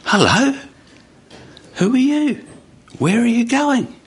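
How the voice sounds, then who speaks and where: A man's voice: very high-pitched, very animated, slow pace, breathy edge, pitched above the performer's own voice, heavily acted. A man voicing a kindly, lonely woman, curious and welcoming, in live storytelling.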